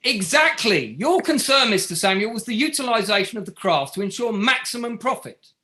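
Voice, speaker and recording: An actor playing a relentless barrister who cross-examines with pointed, sardonic questions in a courtroom drama table read. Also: male voice, high-pitched, animated, brisk, sharp tone. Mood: incredulous, insistent.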